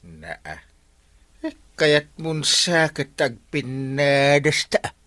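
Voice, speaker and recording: A man's voice: on the high side, big pitch swings, extremely slow, bright, forceful tone, no echo, full character voice. A man with an Ilocano accent playing a flirtatious, blustering comic village captain in a radio drama, exclaiming and stammering theatrically. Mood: defiant, blustering.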